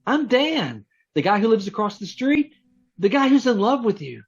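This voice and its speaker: Dull, lo-fi audio of a man acting an eager young suitor in a 1940s-style radio romance. His husky voice swoops up and down as he pleads earnestly and stammers. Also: high voice, big pitch swings, normal speed, full character voice. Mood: eager, pleading. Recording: dull lo-fi remote call audio.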